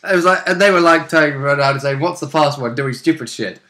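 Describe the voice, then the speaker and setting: A man's voice: on the high side, fast, bright, forceful tone. A man chatting casually as co-host about a tabletop role-playing session, with wry, jokey interjections and a readiness to chuckle. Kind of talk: casual chat.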